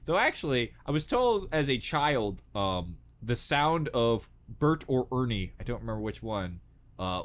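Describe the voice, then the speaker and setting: A man's voice: medium pitch, fairly bright tone. A man giving casual, rambling reaction commentary with jokey, crude humour and exaggerated dread. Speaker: reaction video host.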